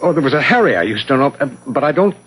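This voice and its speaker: A mild, befuddled middle-aged American husband, husky and somewhat high, pitch wandering all over as he stammers and repeats himself in confusion. The style is 1970s radio mystery acting. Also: sing-song, racing, fairly bright tone, exaggerated voice. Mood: confused rambling. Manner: stammering, repetitive, confused, trailing off. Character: befuddled, flustered husband, a mild rule-bound club guard.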